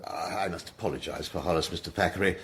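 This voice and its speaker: A man playing an awkward, well-mannered British gentleman in a radio drama, apologetic in manner, with faint background noise. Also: sits low, steady tone, quick pace, lightly acted. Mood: apologetic, sympathetic.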